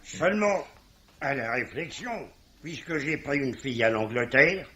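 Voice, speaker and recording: A man's voice: medium pitch, animated, a little slow, pushed and bright. An actor playing a proud, pugnacious, good-hearted middle-aged Irish father, talking colloquially in a 1950s-style French radio drama. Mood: proud, excitable. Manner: colloquial, hesitant blustering. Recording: dull vintage radio recording.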